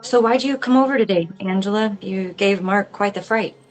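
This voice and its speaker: A woman's voice: mid-range voice, moderate pace, soft background music, lightly acted, poor audio. A woman playing a composed, wry police lieutenant with a dry, placating manner in a film table read. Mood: calm, inquiring.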